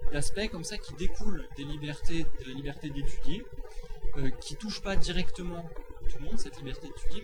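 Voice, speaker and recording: A man giving a semi-spontaneous explanatory talk, didactic and methodical, often halting and repeating words as he goes. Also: a little high, low-quality recording. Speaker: free software advocate.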